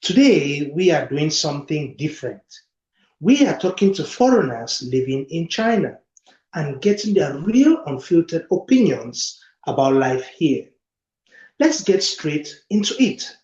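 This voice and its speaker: A man hosts an interview and asks short questions in a friendly way. His voice sits a little high for a man. The sound echoes in the room and is dull and muffled. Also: darkish tone. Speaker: interview host. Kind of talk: hosting a casual interview.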